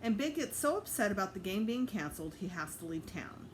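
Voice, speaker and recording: A woman's voice: medium pitch, sing-song, fairly quick, a little hiss or hum, natural voice. A woman voicing a friendly, matter-of-fact grandmother in a children's book read-aloud. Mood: reassuring. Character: Mrs. Apfel, friendly matter-of-fact grandmother next door.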